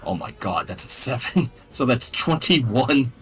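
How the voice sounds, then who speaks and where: A man's voice: mid-range voice, animated, a little slow, soft background music. A man chatting casually and bluntly as a player in a tabletop role-playing game.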